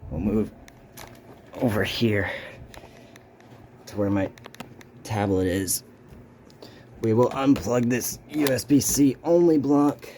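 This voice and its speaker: A man hosting a casual, unscripted unboxing video, speaking haltingly and muttering to himself as he goes. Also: mid-range voice, steady tone, warm tone, slight background noise. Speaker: video host doing an unboxing.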